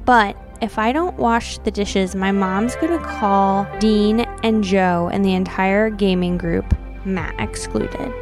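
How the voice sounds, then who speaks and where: A woman's voice: mid-range voice, slow pace, hardly any pauses, music in the background. A woman co-hosting a podcast, casually chatting and reading aloud in a chatty way. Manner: chatty, uses like and you know often. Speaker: podcast co-host. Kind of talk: casual chat with dramatic readings of old notes.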